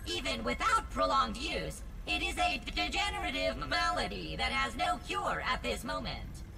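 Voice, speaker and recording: Creaky, glitchy, high-voiced game robot. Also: female voice, sing-song, normal speed, full character voice. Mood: informative. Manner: formal, stilted, stuttering glitches. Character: chirpy, wordy, eccentric robot lab assistant. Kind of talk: scripted video game dialogue. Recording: loud music behind. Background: music.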